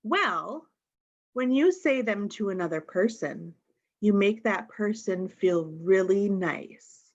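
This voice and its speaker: A woman reads a picture book to young children at an unhurried, slow pace. She is expressive and speaks straight to the little listeners, often with questions. The recording is slightly dull and lo-fi. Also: mid-range voice. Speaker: storytime reader.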